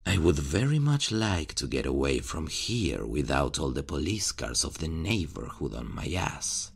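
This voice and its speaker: A man voicing a calm, mocking vigilante killer who speaks slowly and with quiet menace in an ASMR horror roleplay. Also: a bass voice, creaky, breathy edge. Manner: slow, teasing, quietly menacing.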